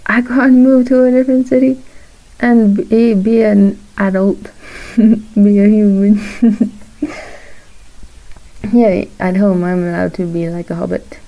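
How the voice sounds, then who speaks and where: A shy young woman who grew up in Morocco chats casually while drawing at home, halting often and laughing between thoughts. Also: mid-range voice, flat delivery, lo-fi sound. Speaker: vlogger, aspiring character designer.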